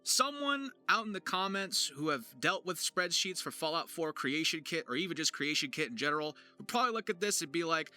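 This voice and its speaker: Bright, animated higher-pitched man. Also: brisk, few pauses. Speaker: video creator and voice actor. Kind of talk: explanatory vlog voice-over. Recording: music behind the voice. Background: music.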